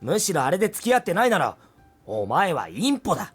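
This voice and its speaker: Husky, blunt schoolboy. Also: male voice, high voice, huge pitch swings, racing, full character voice. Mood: casual, blunt. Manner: informal, crude. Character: blunt, gossipy male high school student. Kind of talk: anime game voice acting. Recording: game music behind.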